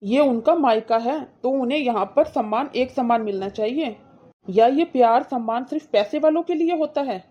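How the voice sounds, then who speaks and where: A woman's voice: a little high, normal speed, lo-fi sound, lightly acted. A woman voicing an indignant, protective brother in a cartoon moral story, scolding his wife with insistent, reproachful questions.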